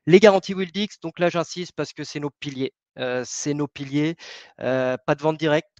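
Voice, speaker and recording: A man's voice: fairly high pitch, normal speed, no room sound. Man, webinar presenter, hesitant with fillers, dry dull lo-fi sound. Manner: hesitant, many fillers and restarts.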